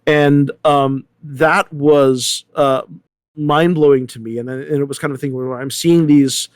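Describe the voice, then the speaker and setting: A man's voice: a little high, a little slow, dry recording, clean studio sound. A middle-aged American man talking casually as an interview guest, rambling and often hesitating and correcting himself. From San Diego, United States. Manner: rambling, animated storyteller with many hesitations and self-corrections. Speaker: theater artistic director, interview guest.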